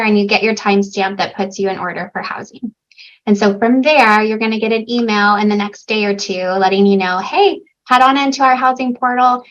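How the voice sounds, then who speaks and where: A housing staffer with a fairly high voice calmly explains an application process. She is reassuring and chatty, and her call audio sounds dull and muffled. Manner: reassuring, conversational.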